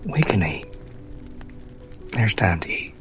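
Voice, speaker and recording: A man's voice: lowish voice, very animated, slow, a bit bright, faint music behind, exaggerated voice. A man voicing a frail, weary, kindly man in a fantasy roleplay, speaking reflectively.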